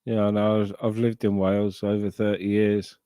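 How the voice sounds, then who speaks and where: A man's voice: on the low side, level tone, normal speed, dark tone, clear, resonant tone, no room sound. A middle-aged man from Sussex with an English accent, chatting casually and swearing on a live stream into a desk microphone. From England. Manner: sweary, rambling banter. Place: home, live stream call.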